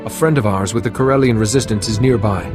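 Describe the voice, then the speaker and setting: An actor playing a calm, dutiful Jedi hero in a video game, with a measured, formal and earnest delivery. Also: male voice, on the low side, over music, natural voice. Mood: reassuring.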